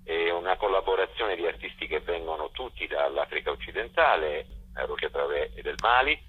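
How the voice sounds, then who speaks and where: Low-voiced man on a muffled phone line. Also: animated, a little fast, slightly rough. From Italy. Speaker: festival director being interviewed. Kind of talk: unscripted radio interview, presenting a programme.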